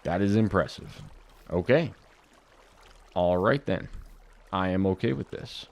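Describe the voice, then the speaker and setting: A man's voice: low voice, unhurried, vocal fry. A young man with a slightly dry throat, chatting casually to viewers while he plays through a modded Minecraft series. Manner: relaxed, chatty, talks to viewers while playing. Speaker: gaming video host. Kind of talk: casual Minecraft modpack commentary.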